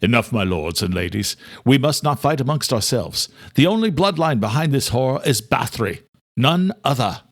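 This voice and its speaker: A man's voice: mid-range voice, lively intonation, normal speed, pitched above the performer's own voice. Man playing stern vampire king, commanding, formal speech, audiobook drama. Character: stern vampire king presiding over a fractious council.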